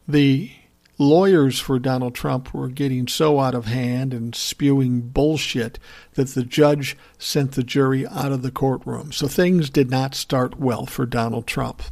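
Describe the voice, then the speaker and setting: A man's voice: medium pitch, fairly even intonation, slow, vocal fry, a little mellow. A man hosting a political podcast, giving blunt, profane opinion commentary and answering listener messages in a conversational way.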